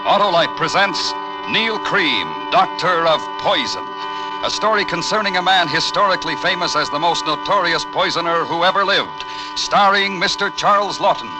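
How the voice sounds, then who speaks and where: A man's voice: high-pitched, animated, normal speed, over loud music, rough recording. A man introducing a radio programme in a formal, portentous, dramatic 1940s announcing style.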